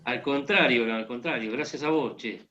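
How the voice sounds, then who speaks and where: A man's voice: mid-range voice, moderate pace, muffled recording, background noise. A man from Argentina with an Argentine accent, talking casually and joking as a guest in an online conversation, with many fillers. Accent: Argentine Spanish. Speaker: guest speaker.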